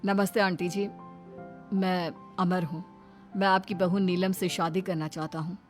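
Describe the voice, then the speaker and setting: A woman's voice: on the low side, flat delivery, unhurried, soft background music, close to an everyday voice. A woman voicing an earnest, determined young man courting in a cartoon, polite and sincere. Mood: polite, insistent.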